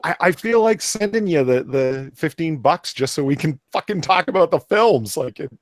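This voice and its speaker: A man's voice: fairly high pitch, lively intonation, moderate pace, no echo, clean studio sound. A man chatting casually in a sweary, exclamatory way. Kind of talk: casual chat.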